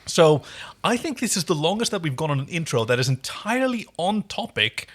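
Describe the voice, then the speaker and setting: A man's voice: fairly high pitch, big pitch swings, fairly quick. A man co-hosting a podcast, chatting casually and with geeky enthusiasm as he recaps a TV episode. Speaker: podcast co-host.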